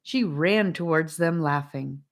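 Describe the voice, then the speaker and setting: A woman reading a children's fantasy audiobook, telling the story gently and expressively. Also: low-pitched, slow. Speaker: audiobook narrator.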